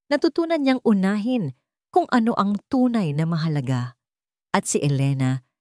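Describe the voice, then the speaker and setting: A woman's voice: on the low side, warm tone, no echo, clean studio sound. A woman with a Filipino accent reading a scripted dramatic story as narrator.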